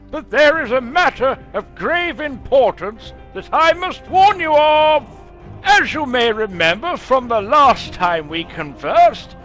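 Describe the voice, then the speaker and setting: A man's voice: extremely high pitch, bright, forceful tone, voice pushed up, heavily acted, rough recording. A man voicing an elderly scholarly professor who reads out a grave warning letter in a theatrical, portentous way. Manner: dramatic, deliberate, portentous.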